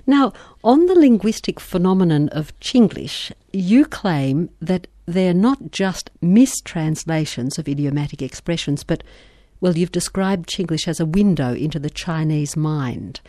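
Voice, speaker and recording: A woman host with a low, mellow voice leads a radio interview in polished, formal sentences. The sound is dry and close, with no room around it. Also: animated, measured pace, no echo. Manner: articulate, formal questioning. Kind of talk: scripted introduction and interview questions.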